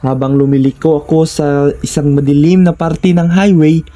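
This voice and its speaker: A man's voice: fairly high pitch, steady tone, normal speed, mellow, faint music behind. A man with a Filipino accent giving a scripted first-person narration of horror stories.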